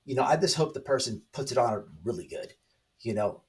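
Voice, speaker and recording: A man's voice: mid-range voice, expressive, a little fast, noisy background. Emphatic man commentating, a bit distant. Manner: emphatic, repeats phrases, tags sentences with "right?". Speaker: online commentary channel host. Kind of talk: casual opinionated monologue to viewers.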